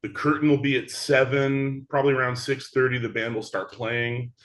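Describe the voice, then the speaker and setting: A man's voice: mid-range voice, little pitch movement, moderate pace, fairly bright tone. A man talking as a theatre creator guest in a casual interview, long-winded and rambling with frequent restarts.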